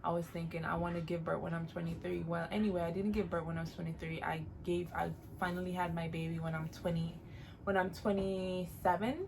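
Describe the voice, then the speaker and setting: A woman in her late twenties, originally from Jamaica, talks to her viewers in a relaxed, encouraging way. She leans on repeated phrases to drive her points home, in a somewhat low voice. A faint hiss sits under the recording. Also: a little fast, gravelly. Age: late 20s. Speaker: vlogger. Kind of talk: casual advice vlog.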